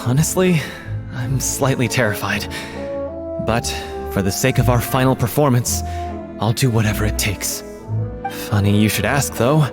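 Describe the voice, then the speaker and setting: An actor playing an earnest, candid troupe performer who speaks sincerely and firmly, in a video game. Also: male voice, medium pitch, normal speed, music in the background, close to an everyday voice. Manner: sincere, firm.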